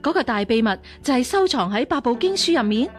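威嚴精明嘅武林師太，聲線偏高. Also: female voice, high voice, sing-song, over music. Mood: probing. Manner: measured, authoritative. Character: stern, shrewd martial-arts nun princess, calm and commanding. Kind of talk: Cantonese radio drama acting.

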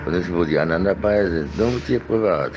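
Very deep-voiced middle-aged man, rambling. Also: animated, fairly quick, lo-fi sound, background noise. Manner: repetitive, insistent, disjointed. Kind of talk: casual, rambling monologue.

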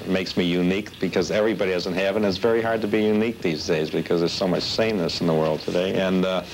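A man in his thirties talks casually in an interview. His voice is very low, with faint noise from an old videotape recording. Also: rough recording. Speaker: collector interviewed.

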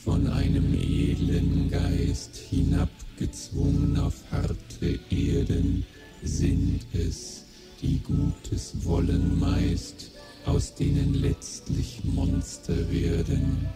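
An actor playing a solemn voice reciting dark verse in a German radio play. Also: male voice, medium pitch, completely flat delivery. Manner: solemn, measured. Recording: echoing voice under loud music.

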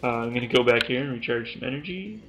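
A young man from Canada giving joking, self-mocking commentary over his own gameplay at home, now and then shouting at the screen. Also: mid-range voice, animated, quick pace, heavy background noise. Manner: joking, self-mocking, bursts of yelling at the game. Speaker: gaming YouTuber. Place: small room at home.

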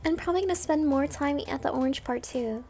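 High-voiced, creaky young-sounding woman streamer. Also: fast talker, mellow, soft background music. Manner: muttering reactions to herself and chatting with viewers. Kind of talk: casual live gaming stream commentary.

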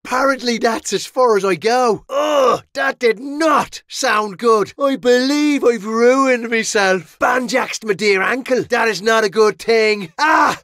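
An actor playing a cocky, wry medieval sellsword with an Irish accent and colourful, theatrical delivery in a video game. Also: male voice, very high-pitched, slow pace, few pauses, fairly bright tone, no room sound, clean studio sound, full character voice. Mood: pained. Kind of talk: video game battle barks and voice lines.

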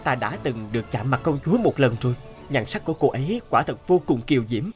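Actor as young cartoon hunter, ambitious dreamer talking to himself, animated intonation. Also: male voice, mid-range voice, quick pace, faint music behind, lightly acted. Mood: scheming. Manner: musing to himself.

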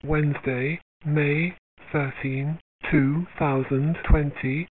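An automated male voice announces in slow, measured steps at a slightly raised pitch. It sounds phone-like and noisy. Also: steady tone. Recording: noisy, narrow phone-like recording.

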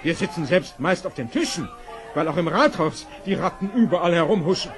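Flustered high-voiced town mayor. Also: male voice, animated, fairly quick, music in the background. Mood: flustered, despairing. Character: flustered, despairing town mayor at his wits' end. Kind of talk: old children's radio play acting. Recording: noisy old audio play, loud crowd.

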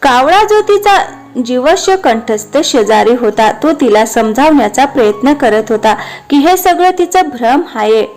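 High-pitched woman narrating a children's story. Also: expressive, measured pace, a little mellow, faint music behind.

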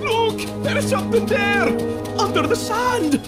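Shrill, frantic cartoonish hare. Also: male voice, expressive, slow, over loud music, exaggerated voice. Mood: alarmed. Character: frantic, excitable male hare. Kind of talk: video game character acting.

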